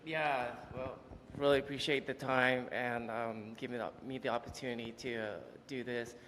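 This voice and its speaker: A man in his forties from Vietnam, telling his life story as an interview guest, halting with many fillers and restarts. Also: medium pitch, little pitch movement, a bit bright, slight background noise. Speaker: interview guest, business owner. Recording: echoey room with long reverb.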